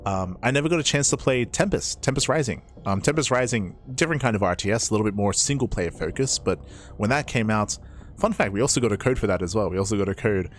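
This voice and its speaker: A man with a hoarse, raspy voice, an esports caster chatting casually as he signs off a stream, rambling and repeating himself. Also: on the low side, slight background noise. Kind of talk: casual stream sign-off chat.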